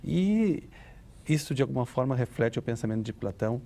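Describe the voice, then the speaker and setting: A man giving a deliberate, didactic explanation as an academic guest, with hesitant pauses. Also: mid-range voice, animated, vocal fry, dark tone. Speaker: panel guest, academic commentator. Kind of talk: explanatory literary discussion.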